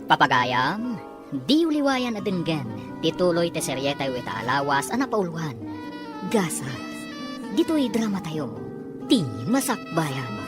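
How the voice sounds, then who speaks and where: A woman's voice: low-pitched, very animated, unhurried, over music. A woman with an Ilocano accent reading scripted narration and announcements for a radio drama.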